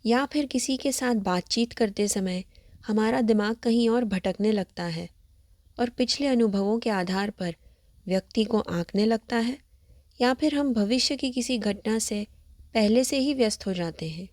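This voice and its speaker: A woman leading a scripted guided meditation, giving gentle, measured instructions. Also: fairly high pitch, dry recording. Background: background music.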